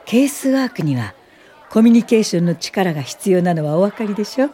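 An actress playing a gentle older woman professor of social work in a radio drama, speaking softly, politely and without hurry in a warm, encouraging way. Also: medium pitch, animated, lightly acted. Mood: kindly explaining. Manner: soft, polite, unhurried, old-fashioned feminine Japanese. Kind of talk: radio drama acting and reminiscing narration.

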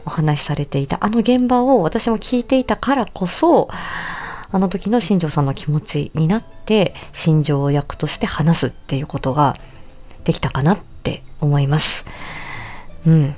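低めで明るい声の女性の気さくな一人語り. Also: female voice, deep voice, darkish tone. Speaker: podcast host and amateur voice actor. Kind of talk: casual solo podcast talk.

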